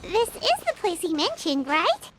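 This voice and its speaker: A woman voicing a tiny, excitable, childlike fairy companion with a squeaky voice in an anime video game. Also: measured pace, heavy background noise, heavily acted. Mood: puzzled. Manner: excitable, exclamatory.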